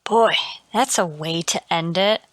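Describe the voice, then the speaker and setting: A woman's voice: on the low side, unhurried, no room sound, close to an everyday voice. A woman playing a brash, wisecracking friend in an old-time radio mystery. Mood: amazed.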